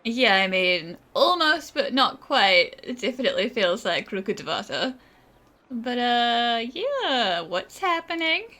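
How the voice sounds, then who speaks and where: A woman's voice: on the high side, big pitch swings, unhurried, sharp tone. A woman streamer giving casual, playful, chatty commentary as she answers game characters aloud. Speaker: gaming streamer. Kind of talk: casual reactive gameplay commentary.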